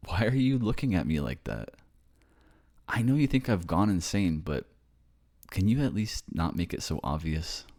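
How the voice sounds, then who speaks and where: Man acting as earnest young boyfriend, low-pitched voice, hesitant, reassuring, ASMR roleplay drama. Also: sing-song, moderate pace, darkish tone, full character voice. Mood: insistent, pleading. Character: earnest young man raised by ghosts, reassuring his partner.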